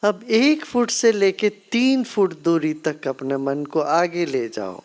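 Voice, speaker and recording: A meditation teacher with an Indian accent guiding a practice in a calm, unhurried, gently instructing way with long pauses. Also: mid-range voice.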